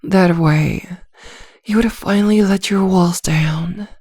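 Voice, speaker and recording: A woman voicing a seductive, dominant vampire in a soft, breathy, teasing ASMR roleplay, coaxing hypnotically close to the mic. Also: medium pitch, takes it slowly, no room sound. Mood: smug, teasing. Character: seductive, dominant female vampire posing as a therapist. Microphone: close microphone, very dry.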